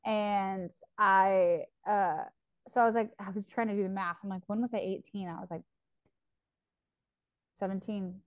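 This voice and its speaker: A woman's voice: mid-range voice, steady tone, a little slow, long pauses, dry recording, muffled recording. Chatty young woman telling a story. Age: 20s. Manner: rambling storytelling with filler "like" and swearing. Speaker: podcast co-host. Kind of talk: casual chat.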